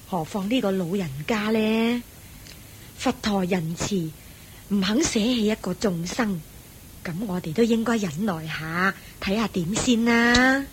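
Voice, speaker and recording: An actress playing a gentle, compassionate wealthy lady of the house in a radio drama, soft-spoken and persuasive. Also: medium pitch, a little hiss or hum, lightly acted.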